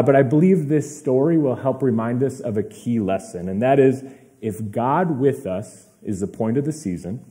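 A young man, slightly hoarse, giving a relaxed, chatty sermon as a pastor, with fillers and personal asides. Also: medium pitch.

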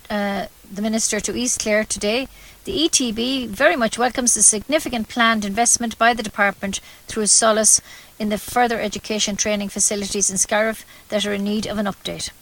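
A woman's voice: mid-range voice, a bit bright, poor audio. A woman with an Irish accent reading scripted local news on community radio, formal with the occasional stumble.

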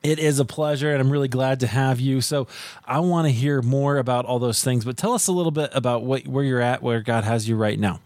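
A man from the United States hosting a podcast, talking in a relaxed, chatty way with many fillers. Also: medium pitch, brisk, no room sound. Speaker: podcast host. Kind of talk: casual interview chat.

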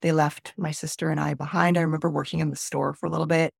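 A middle-aged Canadian woman, a native Spanish speaker, tells personal stories in an interview. Her voice has a noticeable vocal fry and sits close and dry on the recording. She phrases things in a measured, reflective way. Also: low-pitched, level tone, no echo. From northern Alberta, Canada, born in Peru. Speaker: podcast guest.

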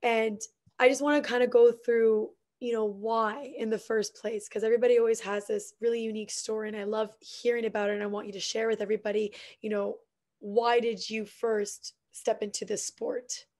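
A woman hosts a relaxed interview, her voice slightly raspy from allergies. She sits a touch higher in pitch than most women and keeps things chatty and upbeat, laughing easily. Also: a little fast. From Canada. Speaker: podcast host. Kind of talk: casual interview chat.

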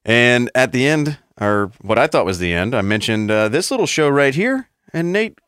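A man's voice: medium pitch, very animated, moderate pace, a bit bright, smooth, dry recording, studio quality. A man co-hosting a casual podcast, rambling and profane, laughing often. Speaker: podcast co-host.

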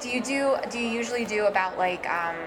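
A woman's voice: on the high side, a little fast, background noise. A woman hosting a casual podcast interview, responding eagerly to her guest.

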